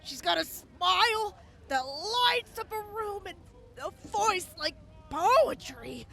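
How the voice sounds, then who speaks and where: A woman's voice: very high-pitched, lively intonation, slow pace, creaky, pushed and bright, soft background music, full character voice. A woman playing an earnest young soldier who gets shy and gushing. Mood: tender.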